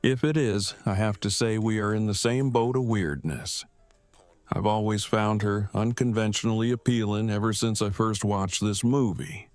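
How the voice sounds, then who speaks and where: A man acts out an awkward bookish fellow in an audio drama. His voice sits fairly low, and he talks in stiff, verbose, very formal sentences with an earnest streak. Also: normal speed, darkish tone, no room sound, faint music behind, close to an everyday voice. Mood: earnest, flirtatious. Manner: stilted, verbose, formal phrasing. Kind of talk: fan audio drama acting.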